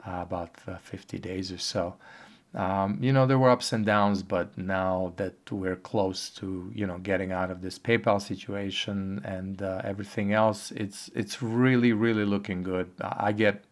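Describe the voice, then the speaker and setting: A hoarse man giving a casual, rambling video update, with many pauses and fillers. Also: low voice, fairly even intonation. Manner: halting, rambling, filler-heavy. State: hoarse from a cold. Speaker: online earnings vlogger. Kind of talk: casual talking-head update.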